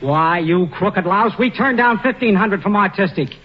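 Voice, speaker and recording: A brash, mocking Hollywood writer with a fairly high voice. He snaps out curt wisecracks in old radio comedy style, on a muffled, slightly noisy recording. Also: expressive, normal speed. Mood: indignant. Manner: curt, brusque, snappy.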